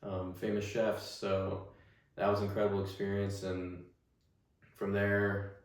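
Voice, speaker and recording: A man's voice: on the low side, measured pace. Rambling young man, echoey distant mic. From Staten Island, New York. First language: Russian. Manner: relaxed, rambling with many fillers and restarts. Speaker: guest. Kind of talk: casual interview chat.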